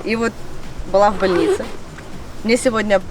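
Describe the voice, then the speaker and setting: A woman's voice: medium pitch, normal speed, distant mic. A woman with a nasal, congested voice chats casually and enthusiastically, as host of an outdoor fishing vlog. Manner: chatty, enthusiastic. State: congested from a cold. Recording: outdoors, away from mic, clear background noise.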